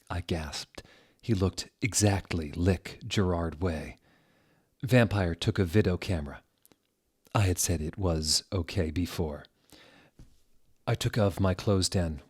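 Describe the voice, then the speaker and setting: Guy reading bad fanfic all theatrical and serious, slow, deepish voice. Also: a little mellow, husky. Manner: deliberate, theatrical, reads typos and punctuation out literally. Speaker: dramatic reader of fan fiction. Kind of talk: mock-serious dramatic reading aloud.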